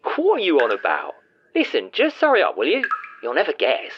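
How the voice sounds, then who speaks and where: Guy playing an impatient excitable hero on a noisy phone line, really animated, audio story. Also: high voice, voice pushed up, full character voice. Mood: impatient, peeved. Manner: impatient, peeved, brisk. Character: impatient, excitable man on a phone, time-travelling adventurer. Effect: phone filter. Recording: narrow, noisy phone-receiver sound.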